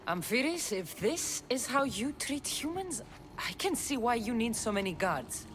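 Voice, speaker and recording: An actress playing a blunt, wry, pragmatic warrior heroine of ancient Greece in a video game drama. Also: female voice, fairly high pitch, big pitch swings, a little slow, a bit bright. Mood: scornful. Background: music.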